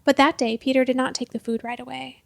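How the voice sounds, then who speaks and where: A woman narrating a literary short story in a measured, expressive storytelling manner. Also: sits high, gravelly.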